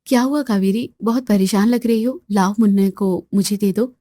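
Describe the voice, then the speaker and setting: A woman doing a soft, weary young housewife. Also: on the high side, expressive, moderate pace, no room sound, lightly acted. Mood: quiet. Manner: soft, quietly reproachful. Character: patient, put-upon young sister-in-law, quietly wry.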